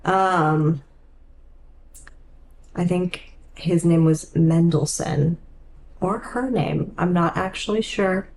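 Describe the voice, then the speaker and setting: A woman with a slightly low voice talks casually as a podcast co-host. She reasons out loud in an informal way. There is faint noise in the background. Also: takes it slowly, long pauses, rough recording. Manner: informal, thinking aloud. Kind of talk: casual book discussion.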